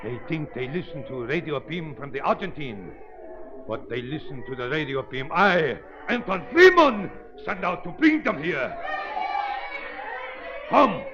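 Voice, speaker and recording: An actor playing a gloating mad scientist in 1930s radio drama, speaking broken English with a foreign accent in a theatrical way. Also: male voice, on the high side, big pitch swings, unhurried. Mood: triumphant, excited. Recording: muffled vintage 1930s radio recording.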